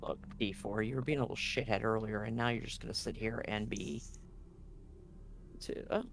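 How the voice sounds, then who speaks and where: A man's voice: lowish voice, fairly even intonation, moderate pace, gravelly, slight background noise. A man speaking casually and with some swearing as game master of a tabletop role-playing game. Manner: casual, profane.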